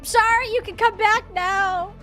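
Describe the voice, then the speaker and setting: A woman doing live esports commentary as a shoutcaster, with playful, chatty banter. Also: very high voice, steady tone, measured pace. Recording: music behind the voice. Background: music.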